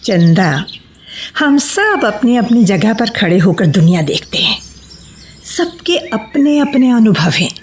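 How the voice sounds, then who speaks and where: A slow-spoken, reserved loner. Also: female voice, mid-range voice, big pitch swings, slight background noise. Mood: pensive, guarded. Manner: measured, pensive, guarded. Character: reserved, solitary woman who cherishes silence. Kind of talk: Hindi radio play acting.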